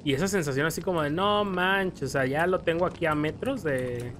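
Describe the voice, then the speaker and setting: A man's voice: a little high, fairly quick, fairly bright tone, a little hiss or hum. Rambling young Mexican man. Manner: rambling, stammering storyteller who acts out scared quotes. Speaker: gameplay commentator. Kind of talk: casual gameplay chat. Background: game music.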